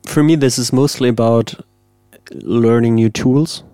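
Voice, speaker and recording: A man, a composer, giving thoughtful, measured interview answers and searching for the right words. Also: medium pitch, fairly even intonation, dark tone, smooth, no room sound, clean studio sound. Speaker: composer guest.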